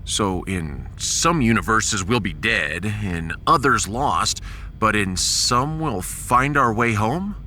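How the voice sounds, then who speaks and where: A man's voice: on the low side, lively intonation, takes it slowly, pushed and bright, a little hiss or hum, heavily acted. A man playing a bold, sarcastic starship captain in a science fiction audio drama, with a dramatic and wry delivery. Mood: questioning.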